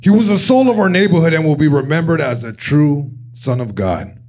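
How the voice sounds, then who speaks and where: An actor playing a solemn priest who speaks in a measured way at a funeral, in a script reading. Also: male voice, fairly high pitch, animated, darkish tone, close to an everyday voice. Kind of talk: script table read.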